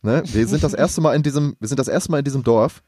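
A man's voice: mid-range voice, quick pace, darkish tone, no room sound, clean studio sound. A young man chatting casually on a podcast, telling rambling stories in slangy speech. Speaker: podcast co-host. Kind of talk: casual chat.

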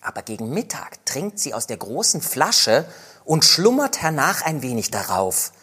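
A woman's voice: rumbling low, big pitch swings, fairly bright tone, husky, pitched below the performer's own voice, exaggerated voice. A woman reading aloud and voicing a wild, bossy little robber girl with a brash, teasing manner. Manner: brash and teasing, quick bursts.

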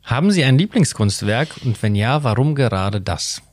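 Male interviewer, courteous and formal, medium pitch, dry studio-like podcast sound. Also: big pitch swings, normal speed, no echo. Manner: polite, formal address. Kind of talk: formal, prepared interview questions.

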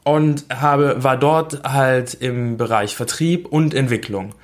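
A man's voice: mid-range voice, slow pace. A young man from Berlin talking casually about himself, with lots of hesitant fillers. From Berlin, Germany. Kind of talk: casual self-introduction.

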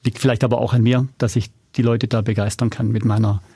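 A man's voice: mid-range voice, little pitch movement, fast, creaky, dark tone, slightly rough, no room sound, clean studio sound. A man speaking informally as an interview guest, telling anecdotes about his own work in a conversational way. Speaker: interview guest, audio drama and documentary producer.

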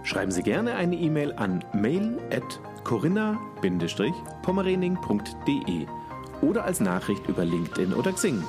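A man reading a scripted podcast outro as announcer, in a smooth promotional read. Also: medium pitch, big pitch swings, normal speed. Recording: voice over clear music.

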